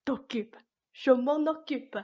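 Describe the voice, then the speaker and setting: A woman's voice: sits high, slow, mellow, husky, highs cut off, heavily acted. A woman acting as a jaded, ancient immortal fairy godmother in a radio play, blunt, colloquial and mischievous. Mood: coaxing. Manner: blunt, colloquial, world-weary. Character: jaded 874-year-old immortal fairy godmother, grumbling but mischievous.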